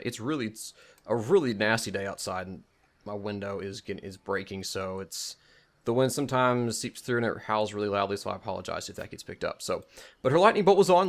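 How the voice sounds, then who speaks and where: A man giving a casual, unscripted game demonstration, explaining each step and thinking aloud as he goes. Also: mid-range voice. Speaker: mod showcase presenter. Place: indoors at home, voice-over on gameplay.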